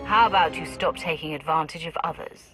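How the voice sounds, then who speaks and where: A woman's voice: sits low, a little fast, music in the background, lightly acted, rough recording. A woman playing a commanding, dry-witted warrior in a video game, assertive and clipped. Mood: firm.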